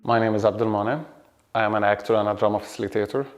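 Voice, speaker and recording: A man, an Arabic-speaking actor and drama facilitator answering an interview question in a relaxed, friendly way, often repeating words. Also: on the low side, rapid-fire, music in the background. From Egypt. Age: 30s.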